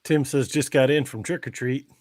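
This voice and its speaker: A man's voice: medium pitch, fairly even intonation, normal speed, a little mellow. A man hosting a podcast in an informal, folksy, chatty style. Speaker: podcast host. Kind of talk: casual chat.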